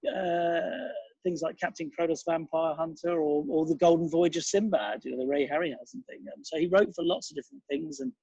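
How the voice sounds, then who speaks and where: A man's voice: fairly high pitch, steady tone, fairly quick, creaky, dark tone, slightly rough, no echo, lo-fi sound. A man giving a casual interview as a guest, reminiscing in a rambling, enthusiastic and digressive way.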